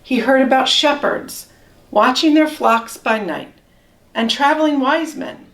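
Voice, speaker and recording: A woman reading a children's story aloud with measured, expressive storytelling. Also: sits high. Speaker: storybook reader. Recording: roomy echo, distant microphone.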